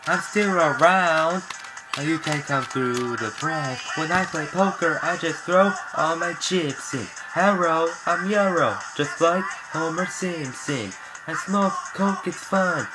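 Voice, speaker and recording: A young man in his late teens sings along at an unhurried pace to a comic song, chanting its repeated lines in a casual, amateur way. He records himself on a laptop webcam in a small bedroom. A loud backing track plays under his voice. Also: on the high side, rough recording. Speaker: amateur singer. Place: small bedroom with bright yellow walls. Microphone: laptop webcam at close range. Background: loud backing music.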